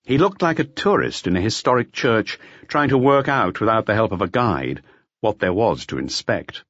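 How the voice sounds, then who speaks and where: A man reading a mystery novel as an audiobook narrator in an expressive, scripted style. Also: lowish voice, moderate pace, no room sound. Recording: slightly dull, lo-fi audio.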